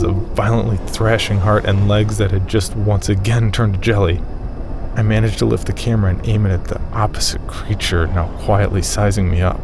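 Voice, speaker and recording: A man's voice: on the low side, noisy background. A man reading a scripted first-person horror story as its narrator.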